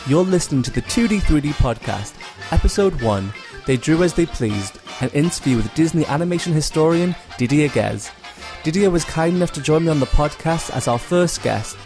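A man hosting a podcast, giving a prepared, smooth intro and asking interview questions. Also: a little high, expressive, moderate pace, over music. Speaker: podcast host.

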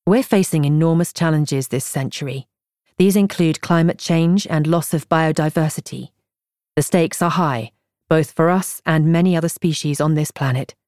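A woman reading a nonfiction audiobook in a measured, articulate way, as a scripted narrator. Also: low-pitched, a little mellow, no echo, studio quality.

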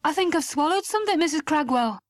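A woman's voice: high-pitched, animated, fast, voice pushed up. A woman voicing an awkward, reluctant young man who talks in a flustered, evasive way.

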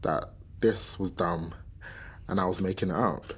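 A performer with a British accent playing a grieving, searching young British-Jamaican in a radio play, husky and hesitant. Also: low-pitched, measured pace. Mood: troubled. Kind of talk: naturalistic radio play acting.

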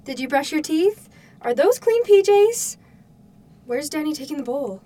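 A woman's voice: sits high, animated, unhurried, mellow, recorded from a distance. A woman playing a caring, mildly skeptical mother in an audio drama, with a conversational, questioning delivery. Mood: tender. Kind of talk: naturalistic audio drama acting.

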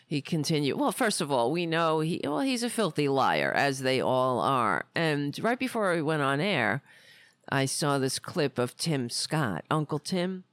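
A woman's voice: low-pitched, big pitch swings, measured pace, no room sound, studio quality. A woman hosting a political podcast in a rambling, indignant, sarcastic way, saying she is losing her voice. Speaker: podcast host. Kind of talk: casual political commentary.

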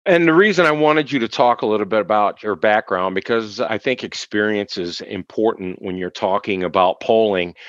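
Upbeat low-voiced male radio host. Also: no echo, highs cut off. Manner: upbeat, joking. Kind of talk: interview radio show. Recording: dry studio with faint music.

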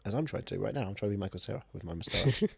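Fast-talking, opinionated male podcaster. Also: lowish voice, animated, gravelly, husky, dry recording. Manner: brisk, opinionated, jokey. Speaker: podcast co-host. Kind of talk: casual chat about films.

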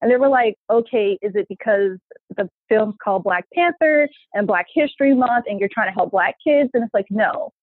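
A woman's voice: medium pitch, animated, moderate pace, husky, dry recording. A woman engineer talking earnestly and with passion as a guest in an interview, heard over a remote line. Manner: earnest, passionate, quotes people in voices. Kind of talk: casual interview talk. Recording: tinny phone-like line.

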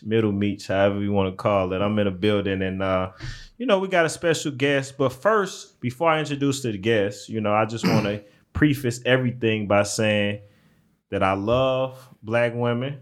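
A man co-hosting a podcast in casual chat, talking in a laid-back, drawn-out way. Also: lowish voice. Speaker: podcast co-host.

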